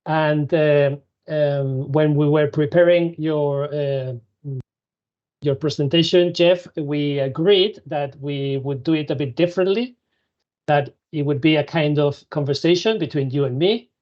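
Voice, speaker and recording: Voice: medium pitch, a little slow, highs cut off. A moderator introducing a guest on an online panel, with frequent hesitations. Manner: hesitant, many filler words.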